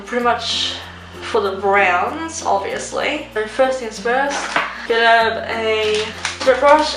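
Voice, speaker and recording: Young woman, slightly higher voice, nasal with a cold, rambling chatty vlog, roomy echo, music behind. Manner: chatty, rambling, many ums. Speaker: beauty vlogger. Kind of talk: casual makeup tutorial chat. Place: room at home. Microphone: phone camera. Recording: roomy home recording with music. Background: soft background music.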